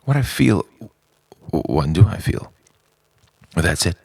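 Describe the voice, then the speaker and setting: A man with an extremely low, creaky voice performs an introspective monologue. He moves slowly through short, halting phrases, with dramatic swings in pitch. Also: sing-song, a little mellow, no room sound. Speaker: narrator of own written reflection. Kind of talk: introspective spoken-word monologue.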